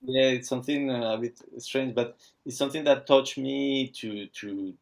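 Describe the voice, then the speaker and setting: A man, speaking haltingly as he searches for words while being interviewed about founding his watch brand. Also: medium pitch, steady tone, creaky. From Argentina. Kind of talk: casual interview.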